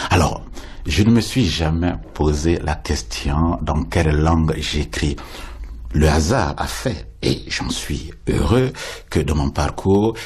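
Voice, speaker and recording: A man with a very low, husky voice talks like a poet, slow and lyrical. He often repeats a word while he looks for the next, and reflects at length as a guest in a radio interview. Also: a little mellow.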